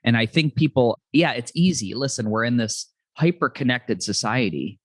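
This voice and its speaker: A man's voice: medium pitch, lively intonation, normal speed, no echo, studio quality. Earnest reflective man hosting a podcast. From New York City. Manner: earnest, reflective, talks in long run-on thoughts. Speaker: podcast host. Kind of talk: casual interview chat.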